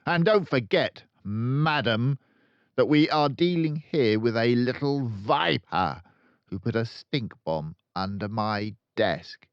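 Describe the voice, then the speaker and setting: A man's voice: medium pitch, slow, clean tone, no echo, dull audio, voice pushed up. A man voicing a tyrannical, bullying headmistress who barks and bellows in fury, in a children's storybook reading. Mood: bellowing. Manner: barking, bellowing, snorting contempt. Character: tyrannical, furious, bullying headmistress, a huge middle-aged woman. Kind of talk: children's audiobook character voice.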